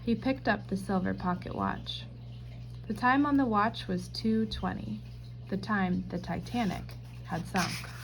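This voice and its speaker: A woman reading a children's storybook aloud in scripted, expressive narration. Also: medium pitch, measured pace, creaky, a little hiss or hum, poor audio.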